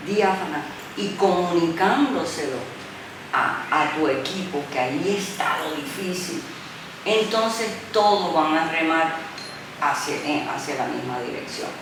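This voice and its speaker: A middle-aged Puerto Rican woman, a journalist, answering interview questions in an animated way, with many "eh" pauses and English fillers. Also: lowish voice. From Puerto Rico. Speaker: journalist, former news director. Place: art gallery space. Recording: echoey room, mic far off, noisy.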